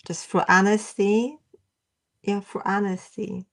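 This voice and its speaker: A woman's voice: medium pitch, fairly even intonation, takes it slowly, vocal fry. A woman whose first language is Polish, a memoir author answering interview questions in simple, hesitant phrasing. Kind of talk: podcast interview.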